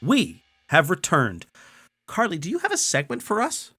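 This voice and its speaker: A man's voice: fairly high pitch, huge pitch swings, normal speed, pushed and bright, soft background music. A man co-hosting a comedy podcast in casual banter, with an earnestly geeky manner.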